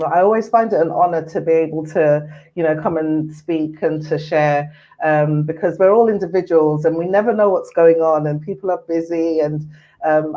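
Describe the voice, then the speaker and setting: A woman's voice: fairly low pitch, warm tone. A woman in her forties from Ghana, a mental health services manager who speaks in an earnest, reflective way as an interview guest over a video call. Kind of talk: casual interview chat. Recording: muffled lo-fi audio.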